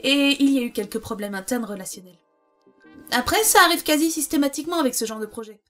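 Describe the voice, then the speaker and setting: A woman's voice: high voice, fast talker, fairly bright tone, soft background music. Blunt, laid-back woman. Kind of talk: casual Q&A answers.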